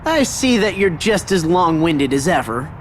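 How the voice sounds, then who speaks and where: A man's voice: on the high side, very animated, normal speed, a little hiss or hum. A man voicing a cocky, brave young hero in a video game with a breezy, undaunted way of talking. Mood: cocky resolve. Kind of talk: scripted video game drama acting.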